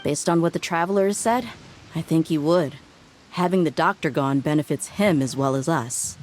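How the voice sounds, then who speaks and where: A woman's voice: low-pitched, moderate pace, darkish tone, slight background noise, close to an everyday voice. A woman playing an easygoing, practical young female mercenary in a game, speaking in a casual, frank way. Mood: thoughtful.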